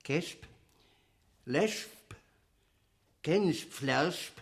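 A man's voice: on the high side, animated, very slow, gravelly, heavily acted. An actor playing an insistent man who recites clipped, isolated words in an absurdist radio play. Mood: deadpan, clipped. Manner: staccato, isolated one-word utterances.

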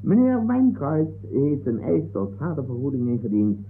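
Exasperated judge, swinging in pitch. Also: male voice, huge pitch swings, normal speed. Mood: formal. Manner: formal, officious, impatient. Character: stern, formal, exasperated male courtroom judge. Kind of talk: 1960s comic radio play acting. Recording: noisy narrow-band old radio recording.

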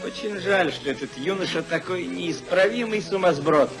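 An actor playing a sober, disapproving and respectful confidant in a 1950s radio play. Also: male voice, high voice, normal speed. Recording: 1950s radio recording with loud music.

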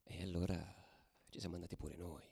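A man's voice: low-pitched, fairly even intonation, brisk, slightly rough. A man playing a furious, worn-out young football ultra in a dramatic radio theatre monologue. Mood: grim. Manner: swinging from hushed telling to shouted frenzy. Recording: drum music behind the voice.

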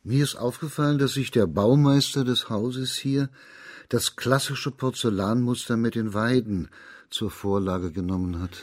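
An actor playing a composed, probing magistrate of ancient China, formal and measured, in a radio crime drama. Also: male voice, medium pitch, natural voice. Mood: calm probing.

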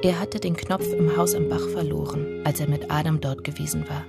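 A woman narrating a literary radio drama in the first person, in measured, deliberate phrases. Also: deep voice, fairly even intonation, gravelly, over loud music. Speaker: actor narrating.

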